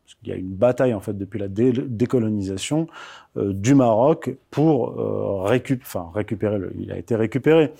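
A man in his thirties from Morocco, whose first language is Amazigh, answering interview questions in a measured, hesitant way with frequent pauses and restarts. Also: fairly low pitch, vocal fry, warm tone, breathy edge. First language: Amazigh (Berber). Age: 30s. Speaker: guest, author.

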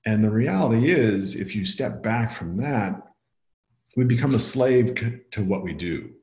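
A man's voice: lowish voice, lively intonation, measured pace, darkish tone. A man speaking as a guest and author in a podcast conversation, explaining his ideas in a reflective, earnest way. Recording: echoey room.

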